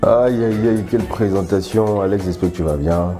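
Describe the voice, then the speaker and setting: A male singer with a Beninese French accent being interviewed, relaxed and easygoing, now and then slipping into English. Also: on the low side, moderate pace, loud music behind the voice. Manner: relaxed, laughs easily, mixes in English. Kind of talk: casual interview.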